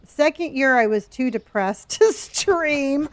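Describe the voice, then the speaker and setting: A woman's voice: high voice, animated, slow. Chatty sixty-something woman streamer. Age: 60s. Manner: chatty, reads chat aloud, trails off and restarts. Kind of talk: casual live-stream chat with viewers.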